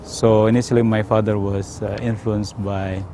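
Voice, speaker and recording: A man from Indonesia with an Indonesian accent, telling his personal story in a hesitant, careful way with frequent pauses. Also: on the low side, flat delivery, dark tone, a little hiss or hum. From Indonesia, South Sumatra. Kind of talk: unscripted personal testimony.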